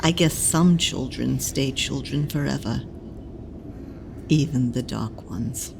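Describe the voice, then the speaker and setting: A woman playing a dry, unflappable caretaker in an audio drama, needling in a wry, deadpan way. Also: a bass voice, slow pace, gravelly, noisy background, full character voice. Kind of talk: full-cast audio drama acting.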